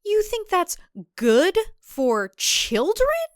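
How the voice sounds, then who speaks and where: A woman's voice: huge pitch swings, drawn-out words, dry recording, clean studio sound, heavily acted. Woman playing a firm, defiant thriller heroine. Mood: controlled rage. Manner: low, controlled, firm delivery. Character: defiant heroine woman, controlled rage, cold and decisive.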